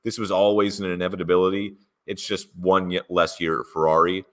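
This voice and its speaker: A man's voice: sits low, flat delivery, a little fast, a bit bright. A man co-hosting a casual podcast, playful and fond of silly bits. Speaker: podcast co-host. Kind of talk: casual chat.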